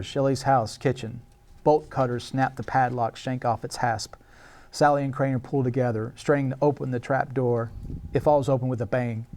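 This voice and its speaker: A man's voice: medium pitch, darkish tone, slight background noise. A man reading stage directions as narrator at a live screenplay table read, in a scripted narration style.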